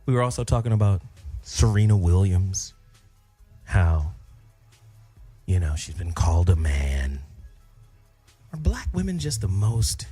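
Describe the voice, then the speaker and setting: An animated man hosts a radio talk show with swooping, expressive delivery. He is boisterous and colloquial, bantering as he goes, with a little music underneath. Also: fairly low pitch, husky. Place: studio with guests.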